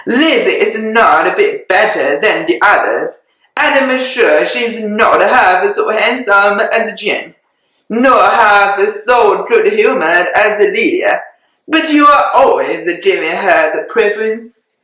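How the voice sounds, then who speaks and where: Fretful fussy mother put on by a reader, pleading, bright tone, very echoey room. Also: mid-range voice, animated, takes it slowly. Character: fussy excitable middle-aged mother.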